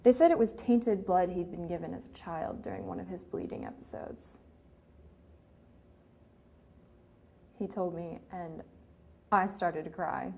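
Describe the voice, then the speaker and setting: A woman's voice: fairly low pitch, normal speed, warm tone. An actress playing a grieving young woman remembering her lost sweetheart in a hesitant, emotional, confessional monologue. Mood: sorrowful. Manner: hesitant, emotional, with trailing phrases. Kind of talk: dramatic monologue, confessional and conversational. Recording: clearly noisy room ambience.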